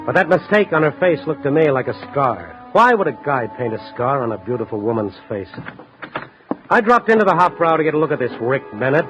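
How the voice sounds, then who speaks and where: An American actor playing a shrewd, wry newspaper reporter in a 1950s radio drama, speaking in a dry, measured, hard-boiled way. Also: male voice, fairly high pitch, close to an everyday voice. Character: shrewd, wry night-beat newspaper reporter, adult man. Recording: dull vintage radio recording with music.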